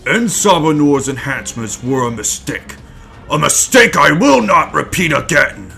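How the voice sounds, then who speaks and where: A man's voice: fairly high pitch, very animated, measured pace, vocal fry, pushed and bright, exaggerated voice. A man playing a menacing conqueror supervillain in an amateur comic-book dramatic reading, with cold, theatrical deliberation that turns to rage. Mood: defiant boasting. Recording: music behind the voice.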